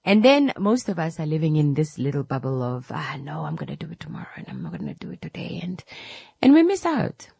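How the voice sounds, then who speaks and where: A woman's voice: sits low, wildly swooping pitch, fairly quick, gravelly, a little mellow, breathy edge, dry recording. A woman, hosting a reflective motivational talk and taking calls, often checking in with "right?". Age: 30s.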